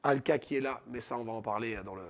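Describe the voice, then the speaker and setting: A man's voice: mid-range voice, fast, gravelly. A man with a Belgian French accent, an MMA announcer, presenting a fight card with enthusiasm and lots of fillers. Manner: enthusiastic, hesitant with many fillers, listing names. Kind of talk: casual promotional talk.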